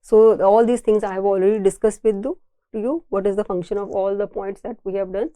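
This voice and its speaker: A woman's voice: mid-range voice, steady tone, fairly quick, warm tone, no room sound. A woman with an Indian accent giving an engineering lecture, explaining slides in a didactic way and restating key points.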